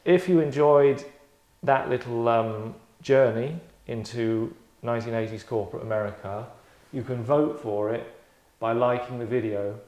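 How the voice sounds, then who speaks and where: A man hosting and directing a table read, chatting casually in a tongue-in-cheek acting critique, deadpan, rambling and self-satisfied. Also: medium pitch, a little slow, few pauses, dull audio. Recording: roomy, echoey indoor sound.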